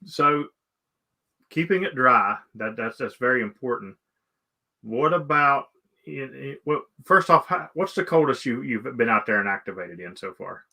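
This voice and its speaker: A man hosting a casual interview, speaking haltingly and often repeating his words as he goes. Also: medium pitch, animated, vocal fry, pushed and bright. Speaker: live stream host interviewing a guest.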